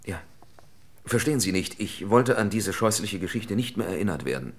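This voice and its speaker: An actor playing a guarded, evasive writer under suspicion, terse and hesitant, in a 1960s German radio play. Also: male voice, fairly low pitch, very animated, moderate pace. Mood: guarded, defensive. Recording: noisy 1960s radio recording, clicks.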